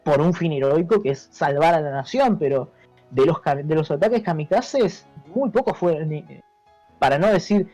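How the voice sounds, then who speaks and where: A man's voice: fairly high pitch, lively intonation, warm tone, no room sound. A man with a Buenos Aires accent explaining things as an interview guest in casual talk, often repeating words and adding question tags. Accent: Argentine Spanish, Buenos Aires. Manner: halting, repeats words, seeks agreement with tags. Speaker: interview guest, history teacher. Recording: dull lo-fi, dry, music behind.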